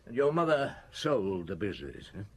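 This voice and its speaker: A patient, probing police inspector. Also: male voice, on the low side, sing-song, breathy edge, heavily acted. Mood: polite, probing. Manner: polite, measured, persistent questioning.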